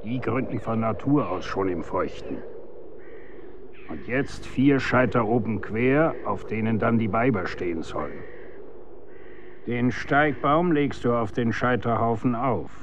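An actor in a German radio drama playing a stern, grave executioner instructing his son in commanding, archaic, measured diction. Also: medium pitch, muffled recording, noisy background, lightly acted.

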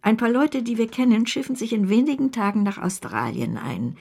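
A commanding older woman in a radio drama, with a dark, gravelly voice. She is decisive and kindhearted, speaking in a matter-of-fact way. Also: on the high side, expressive, fairly quick, exaggerated voice. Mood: brisk generosity. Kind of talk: German radio drama acting.

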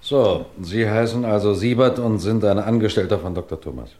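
Man acting as shrewd police superintendent, very low voice, commanding, measured, questioning, German radio crime play. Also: darkish tone, a little hiss or hum, natural voice. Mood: probing. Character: authoritative, shrewd police detective superintendent, first-person narrator.

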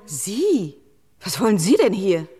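An actress in a German radio drama playing a hostile, protective sister who speaks with bitter sarcasm. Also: female voice, medium pitch, sing-song, slow pace, warm tone, husky, faint music behind, full character voice. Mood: alarmed. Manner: cutting, scornful.

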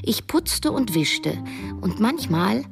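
An actress playing a youngish novelist who narrates a radio drama in a measured, wry, literary way. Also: female voice, medium pitch, big pitch swings, creaky, over music, heavily acted. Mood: reflective, wry. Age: young adult. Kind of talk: scripted first-person radio drama narration.

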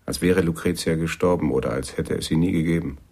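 A disillusioned jazz pianist in a radio drama. His voice is extremely low and gravelly, with a husky edge and a melancholy temper. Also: steady tone, quick pace, a little mellow, heavily acted. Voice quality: very deep, gravelly. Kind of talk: German radio play acting.

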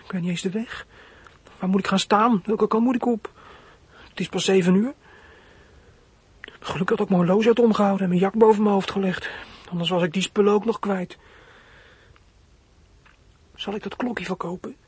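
A high-pitched, very husky boy's voice, from a radio play. He is a frightened young runaway, anxious and scheming. He thinks aloud in halting, breathy phrases. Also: fairly even intonation, a little mellow, dry recording. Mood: nervous, plotting. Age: teens. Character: frightened, scheming fourteen-year-old runaway boy. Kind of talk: radio play acting, monologue.

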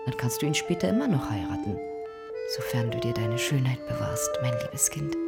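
A woman's voice: rumbling low, very animated, normal speed, creaky, exaggerated voice. A woman playing a shrewd, scheming older cousin in a radio drama, giving calculating advice. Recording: loud accordion music behind. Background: accordion music.

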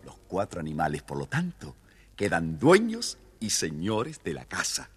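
Deep, very animated male storyteller. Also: a little slow, husky, soft background music. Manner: playful, addressing young listeners. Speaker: storyteller narrator. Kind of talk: animated children's story narration.

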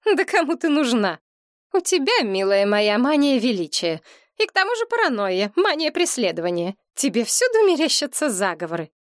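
A scornful middle-aged mother, put on by a woman reader, her voice pitched up high and sharp. She talks down with cutting, indignant mockery. The recording is dry and close. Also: sing-song, normal speed, dry recording. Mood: mocking. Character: offended middle-aged mother, mocking and defensive.

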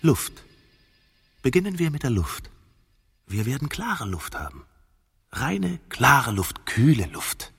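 An actor in a radio play as a dreamy, possessive man with a husky, gravelly voice, savouring his words and easily exasperated. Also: mid-range voice, big pitch swings, slow, long pauses, a bit bright, full character voice. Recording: long hall-like reverb.

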